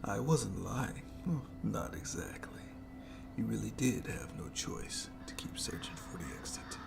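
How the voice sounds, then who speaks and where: A performer voicing a sadistic, gloating monster in a creaky whisper for horror ASMR, with slow, theatrical, mockingly polite menace. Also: mid-range voice, expressive, over loud music. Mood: taunting, gloating. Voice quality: breathy, heavily creaky whispery voice. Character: gloating sadistic monster jailer lurking under the bed. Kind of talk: scripted horror ASMR roleplay.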